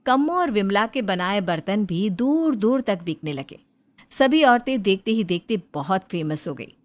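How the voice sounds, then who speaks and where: A woman's voice: medium pitch, animated, measured pace. Female storyteller, mid-range voice, scripted narration.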